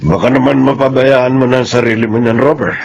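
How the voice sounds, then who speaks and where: A man's voice: mid-range voice, fairly even intonation, fairly quick, vocal fry, muffled recording. An actor playing a middle-aged father of grown sons in a Filipino radio drama. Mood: wry concern.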